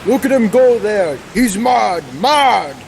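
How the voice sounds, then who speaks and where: A man's voice: high-pitched, animated, slow pace, gravelly, heavily acted. A man playing a resentful, mutinous sailor with dramatic complaints, in old-time radio drama style. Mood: fearful, mutinous. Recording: loud storm noise behind.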